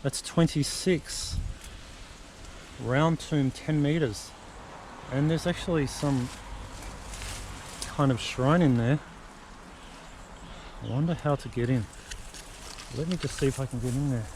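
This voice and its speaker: Male travel vlogger, chatty and thinking aloud, mid-range voice, unhurried delivery, recorded outdoors with noticeable noise behind. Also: mid-range voice, darkish tone. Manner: chatty, muses aloud while exploring. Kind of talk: casual walking commentary. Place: outdoors in rural countryside. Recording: outdoor camera audio with noise. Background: wind and outdoor ambience.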